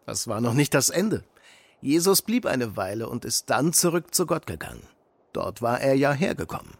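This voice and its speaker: A husky, gravelly father in a children's radio play. He explains things patiently and gently, with a very lively rise and fall. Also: medium pitch, normal speed, no room sound. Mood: patient, earnest. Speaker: actor in a children's audio drama.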